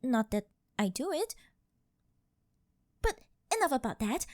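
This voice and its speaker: A woman playing a rich, possessive older girlfriend who dotes and coaxes in a sugary, drawn-out way, in an ASMR roleplay. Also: high-pitched, very animated, no echo, studio quality. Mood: sheepish, apologetic. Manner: coaxing, sugary, drawn-out pet-name delivery.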